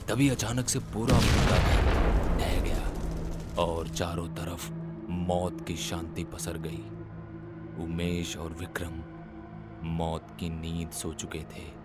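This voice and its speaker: Deep, slow male horror narrator. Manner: suspenseful, deliberate. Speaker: storyteller. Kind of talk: dramatic scripted horror narration.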